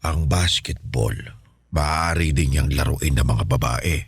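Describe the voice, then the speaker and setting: A man playing a kindly, encouraging old grandfather in a Filipino radio drama, with a husky, aged voice and a folksy, reassuring manner. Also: extremely low pitch, dry recording, studio quality, exaggerated voice. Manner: folksy, reassuring, drawn-out interjections.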